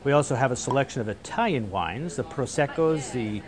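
A man in his fifties, a cafe owner, talking in a relaxed, friendly way in an interview. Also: medium pitch, a little fast. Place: cafe with rustic walls, indoors.

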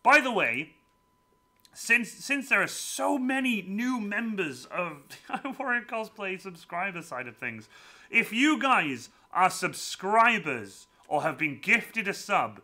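A man hosting a live stream from a room at home, chatting casually with a guest and reading out viewer messages. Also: high voice. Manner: lively, chatty, quick asides to viewers. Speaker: streamer host.

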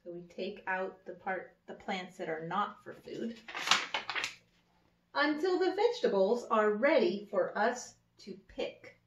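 A woman in her thirties reading a children's picture book aloud like an early education teacher, with simple, deliberate phrasing and little asides. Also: medium pitch, expressive, a little hiss or hum. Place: indoor room with wood-paneled wall. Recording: echoey room, slightly dull sound.

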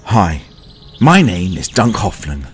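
A man's voice: low voice, very animated, slow, husky, soft background music, voice pushed down, heavily acted. A man playing a sardonic young fallen-noble adventurer, telling his story in wry, dramatic audio drama narration.

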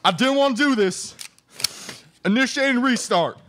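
A man's voice: extremely high pitch, lively intonation, moderate pace, fairly bright tone, slight background noise. A young man playing an earnest, reassuring brother in a radio theatre trailer parody. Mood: urgent. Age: young adult. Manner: earnest, dramatic. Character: reassuring brother at hospital bedside, secretly controlling. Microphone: microphone on stand.

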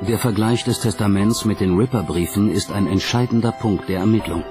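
A man's voice: on the low side, a little fast. A man narrating a documentary from a script. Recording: music under the voice. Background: background music.

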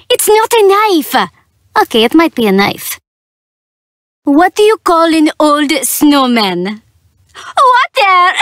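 A young half-tiger thief girl from a video game, festive and full of cheek. Her voice is high and very animated, delivered in short, unhurried bursts. She teases theatrically, with a perky, playful edge. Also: no echo, studio quality, heavily acted. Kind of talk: video game character voice lines.

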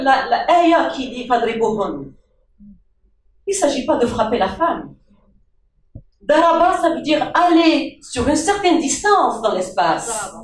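A middle-aged woman speaking from the audience at a public debate, emphatic and questioning, into a handheld mic. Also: high-pitched. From Morocco. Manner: emphatic, questioning, with rhetorical asides. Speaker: audience member. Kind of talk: impassioned debate contribution. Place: crowded indoor meeting room. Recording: echoey room from far off.

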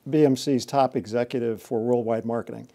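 An older man conducts a corporate interview in a courteous, chatty manner. His voice sits at a middle pitch with a dark, mellow tone. He is recorded on a studio set. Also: fairly even intonation, a little fast, creaky, faint music behind.

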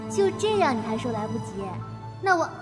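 A plucky young fox-spirit maiden in a costume fantasy audio drama. Her voice is fairly high, earnest and chatty, washed in long reverb over music. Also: very animated, fairly quick. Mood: earnest, fond.

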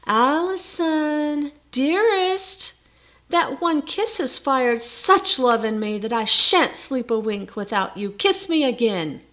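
Woman as a high, cooing lovesick young man. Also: expressive, pushed and bright, full character voice. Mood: ardent, pleading. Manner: cooing, drawn-out, sugary pleading. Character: lovesick, cooing, wheedling young male suitor.

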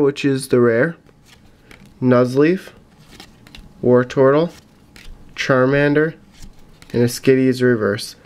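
A young man with a slightly raspy voice from a cold, giving casual, matter-of-fact commentary as he hosts an unboxing video. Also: mid-range voice. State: getting over a cold. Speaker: YouTube host opening trading card packs. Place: indoors at a table in a home room. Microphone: camera mic at about arm's length. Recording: noisy room, card and wrapper crinkling. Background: crinkling packs, clicks, faint noise.

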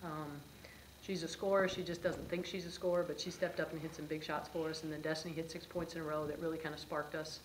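A middle-aged woman coach talks fast in a low voice, brisk and matter-of-fact, filling gaps with "um" and "you know". She sits at a press table in an echoey room with a faint hiss underneath. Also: flat delivery. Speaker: head basketball coach. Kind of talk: post-game press conference. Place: press room before a sponsor backdrop, seated at a table.

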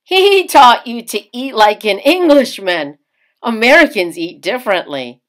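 An eager young man courting his sweetheart in a storybook, voiced by a woman reader. He is playful and admiring, with emphatic, teasing lines that leap around in pitch. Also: lively intonation, a little slow. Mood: amused, eager.

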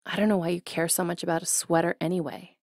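A woman's voice: lowish voice, level tone, fast, no room sound, studio quality. A young woman playing a jealous, teasing college ex-girlfriend in an intimate ASMR roleplay, speaking expressively straight to the listener. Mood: indignant, defensive. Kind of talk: ASMR roleplay acting, intimate one-sided dialogue.

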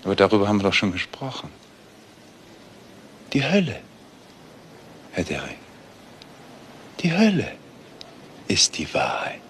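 Very deep, smug gentleman swindler. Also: huge pitch swings, unhurried, long pauses, slight background noise. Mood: philosophising, cynical. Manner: polite, philosophising, pompous. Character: cultured, cynical, smug male master swindler and seducer. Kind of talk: German TV crime drama acting.

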